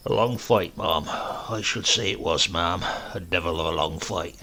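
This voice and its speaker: Man playing gravelly, roguish old English countryman. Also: low-pitched, sharp tone, voice pushed down, full character voice. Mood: mock remorse. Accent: rural English. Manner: rustic dialect, deferential, repeats himself slowly for effect.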